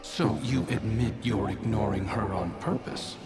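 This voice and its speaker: A man's voice: fairly low pitch, unhurried, over loud music. An actor playing a sly, cynical male phantom in a video game, needling his listener in a mocking, goading way.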